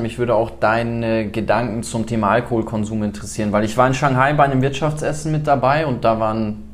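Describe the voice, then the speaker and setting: Podcast host guy, rambly chatty questions, normal mid voice. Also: mid-range voice. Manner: conversational, rambling questions with fillers. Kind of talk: interview questions, casual chat.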